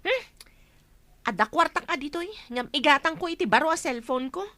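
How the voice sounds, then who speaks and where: A woman's voice: sits high, animated, normal speed, sharp tone, no room sound. A woman playing a selfish young spender in an Ilocano radio drama. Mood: dismissive. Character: selfish young spender refusing to lend money.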